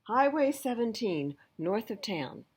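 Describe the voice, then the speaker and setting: A woman voicing an urgent fire station dispatcher in a storybook, chanting a loud announcement. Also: on the high side, big pitch swings, slow pace, slightly rough, exaggerated voice. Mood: urgent announcing.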